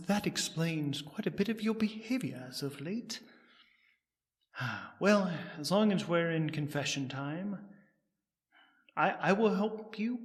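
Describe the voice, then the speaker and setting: A man voicing a nervous, excitable holography expert in an audio drama, with halting, earnest speech. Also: on the high side, big-room sound, pitched above the performer's own voice. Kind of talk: solo audio drama role-play.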